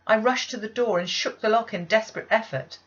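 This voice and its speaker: A woman's voice: a little high, brisk, fairly bright tone. A woman reading a classic novel aloud as literary first-person narration, in a dull, lo-fi recording. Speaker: audiobook reader.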